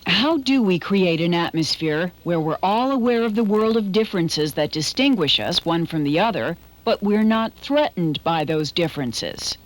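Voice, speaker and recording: A woman narrating a short documentary in a measured, earnest voice-over. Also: fairly low pitch, expressive, rough recording. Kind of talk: scripted narration. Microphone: studio voice-over.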